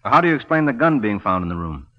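Wry radio private eye, lively delivery. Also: male voice, on the low side, quick pace, in a large hall. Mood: probing. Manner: clipped, sardonic questioning. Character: cool, wry, hard-questioning male private detective. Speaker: lead actor in a detective drama. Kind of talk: 1940s-50s radio detective acting. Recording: muffled, noisy old radio recording.

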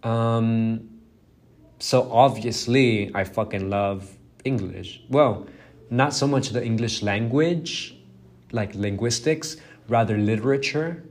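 A man's voice: mid-range voice, slow. Young guy, spanish is his first language, rambly self-deprecating podcast talk, lots of "um" and "you know". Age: young adult. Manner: rambling, self-deprecating, swears freely, many fillers. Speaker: podcast host. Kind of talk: casual solo podcast monologue.

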